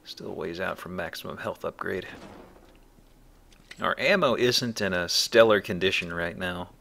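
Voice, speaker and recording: Low, gravelly, forceful male game commentator. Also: measured pace. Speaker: let's play host. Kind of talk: casual gameplay commentary. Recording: commentary over faint game background noise.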